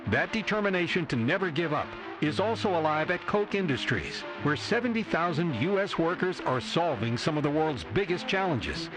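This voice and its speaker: A man's voice: fairly high pitch, big pitch swings, moderate pace, hardly any pauses, rough recording. A man reading a scripted corporate radio advert as an announcer. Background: music bed.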